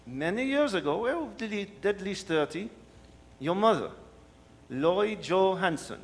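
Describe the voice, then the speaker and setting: A man's voice: sits high, animated, measured pace. A man playing a suave, scheming French villain with a French accent, courtly, wordy and sardonic, in a radio drama. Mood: gloating. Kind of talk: staged radio drama performance. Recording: live hall, long reverb, noisy.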